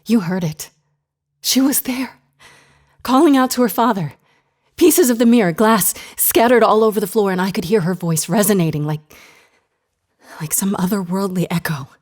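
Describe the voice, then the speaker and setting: A woman's voice: mid-range voice, normal speed, long pauses, a little mellow, natural voice. A woman playing an earnest, strong-willed therapist in an audio drama, speaking articulately and expressively. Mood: excited.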